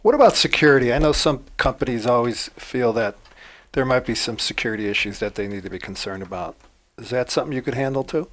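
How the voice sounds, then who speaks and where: A man's voice: medium pitch, fast talker. Male talk show host asking questions. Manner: friendly, brief questions. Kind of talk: interviewing guests.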